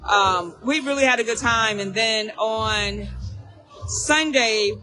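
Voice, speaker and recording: A hoarse woman, hosting a casual live chat for alumni, talking fast and chatty and repeating herself. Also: a little high, bright, forceful tone. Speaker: alumni association host.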